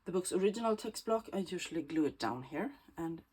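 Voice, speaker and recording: A woman with a Swedish accent, Swedish native, talking through a bookbinding tutorial in a slow, thoughtful way that often drops to a soft whisper while she works. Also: on the low side, expressive, a little hiss or hum. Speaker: bookbinding crafter. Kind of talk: casual craft tutorial.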